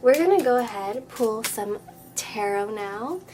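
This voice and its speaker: A woman with a slightly nasal, congested voice giving a spoken tarot reading to her viewers, earnest and soothing, often dropping into a hushed whisper. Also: a little high, animated, a little slow, rough recording. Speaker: tarot reader. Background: background music.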